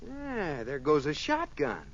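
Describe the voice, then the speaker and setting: An actor playing an exasperated, scolding but fond young husband in old-time radio comedy. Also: male voice, fairly high pitch, wildly swooping pitch, takes it slowly, exaggerated voice. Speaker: co-star in a radio sitcom. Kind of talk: 1940s radio comedy acting. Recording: dull old radio recording.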